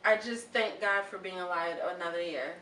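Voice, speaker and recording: A woman's voice: lowish voice, moderate pace, a crowd in the background. A woman in her thirties hosting a casual interview show, chatty and upbeat. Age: 30s. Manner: upbeat, chatty, lively bursts of excitement. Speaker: host. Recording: echoey room from far off, faint noise.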